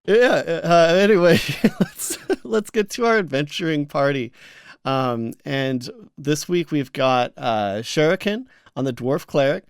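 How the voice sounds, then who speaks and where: Male podcast co-host, smooth clear voice, dry close sound, casual halting delivery, mid pitch. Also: lively intonation, no room sound.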